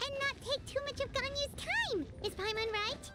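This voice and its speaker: A woman's voice: extremely high pitch, animated, moderate pace, over loud music. A woman voicing a tiny, nosy fairy companion in a game who speaks of herself in third person. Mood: curious.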